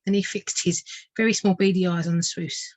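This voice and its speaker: A woman narrating a children's storybook like a tutor reading aloud, measured and expressive. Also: lowish voice, no room sound.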